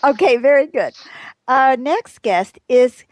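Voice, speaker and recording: A woman's voice: sits high, lively intonation, takes it slowly, no room sound. A woman hosting a radio panel discussion, bubbly and emphatic, laughing often as she introduces her guests. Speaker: radio show host.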